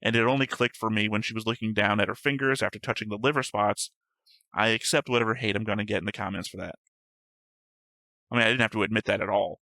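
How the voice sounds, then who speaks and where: A man's voice: on the low side, steady tone, quick pace, pushed and bright, no echo. A man co-hosting an anime reaction show, talking through his notes in casual, winding explanations and poking fun at himself. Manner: chatty, self-deprecating, long winding explanations. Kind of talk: casual discussion of an anime episode.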